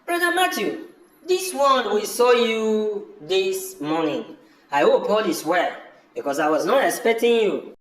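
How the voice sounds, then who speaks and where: A man's voice: sits high, sing-song, unhurried, fairly bright tone, echoey room, full character voice, low-quality recording. A man in an amateur audio drama playing a brother, speaking in an emphatic and repetitive way. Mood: welcoming. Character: welcoming but disillusioned brother, fed up with farming.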